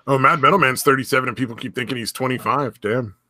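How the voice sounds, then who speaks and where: A man's voice: medium pitch, steady tone, quick pace, bright, forceful tone, dry recording, studio quality. A man in his late thirties chatting casually on a podcast, swearing easily. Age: 30s. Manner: laid-back, swears casually. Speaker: podcast co-host. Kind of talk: casual chat.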